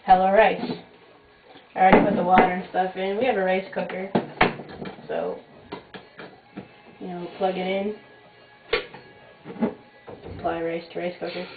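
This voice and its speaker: A woman's voice: lowish voice, expressive, unhurried, long pauses, over loud music. Young woman hosting a kitchen cooking show. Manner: wry, self-mocking, offhand asides. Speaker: home cooking show host. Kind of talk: casual jokey cooking demo. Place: small home kitchen at night. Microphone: fixed camera mic across the kitchen. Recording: echoey, tinny, noisy home camcorder audio.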